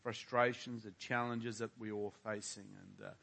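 A man's voice: lowish voice, flat delivery, moderate pace, gravelly, fairly bright tone. An older man, a pastor, leading a prayer and preaching a sermon, talking straight to his congregation and putting rhetorical questions to them. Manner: frequent fillers and restarts.

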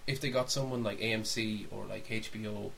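A man's voice: fairly low pitch, steady tone, fairly quick, vocal fry, fairly bright tone. Rambling man on a noisy recording. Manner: rambling, backtracking, mildly sweary. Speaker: podcast co-host. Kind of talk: casual opinionated chat about films and games.

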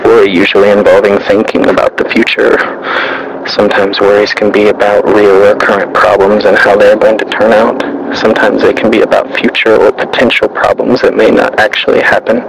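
A man narrating a scripted literary monologue, reciting in a measured, even manner with the odd small stumble. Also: lowish voice, noisy background.

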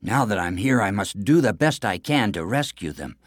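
A man's voice: lowish voice, lively intonation, moderate pace, no room sound, clean studio sound. A man voicing a young, good-natured fairy-tale prince, a little hesitant but brave. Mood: resolute.

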